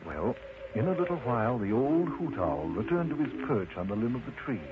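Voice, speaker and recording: Expressive male storyteller on noisy recording. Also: medium pitch, a little fast. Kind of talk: children's storybook narration. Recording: muffled lo-fi recording with loud noise.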